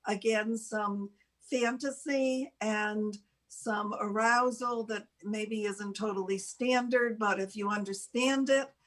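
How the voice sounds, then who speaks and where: Older female co-host, slightly high voice, unhurried and halting, casual unscripted chat. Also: a bit bright, poor audio. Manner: halting, pausing mid-sentence. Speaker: show co-host.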